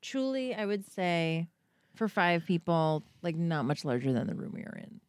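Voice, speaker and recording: A woman's voice: on the low side, big pitch swings, moderate pace, darkish tone, dry recording. A woman from New York City in her forties with a nasal voice, a podcast guest chatting in a rambling, self-deprecating, joking way. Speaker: podcast guest, comedian and writer. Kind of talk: casual chat.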